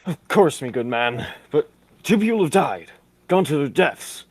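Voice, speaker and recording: Gravelly, theatrical old-fashioned gentleman. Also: on the high side, animated, no echo, full character voice. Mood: musing. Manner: affected, pompous, drawn-out delivery. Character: fussy, theatrical old-fashioned gentleman sidekick, given to exclamations. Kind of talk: 1940s radio play acting.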